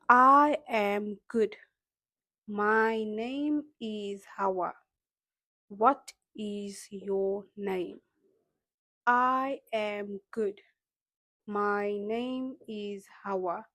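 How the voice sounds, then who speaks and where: Slow, careful Somali-accented woman teacher. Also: medium pitch, fairly bright tone, no room sound. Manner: slow, deliberate drill repetition, switching to Somali explanations. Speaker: English teacher. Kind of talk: slow language lesson, repeating phrases.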